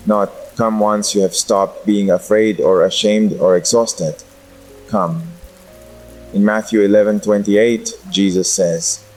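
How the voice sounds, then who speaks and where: Man, low pitch, gentle unhurried meditation narration, deliberate pauses, soft music bed with rain. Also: poor audio.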